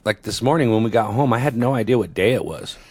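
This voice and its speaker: A tired man co-hosting a casual podcast, his voice extra low and sleepy, chatting and joking with his partner. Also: animated, fast talker, a little mellow, slightly rough. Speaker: podcast co-host. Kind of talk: casual chat.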